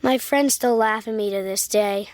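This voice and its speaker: A female performer playing an embarrassed youngster in a deadpan advert monologue. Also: mid-range voice, moderate pace, no room sound, close to an everyday voice. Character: embarrassed youngster talking about parent's job.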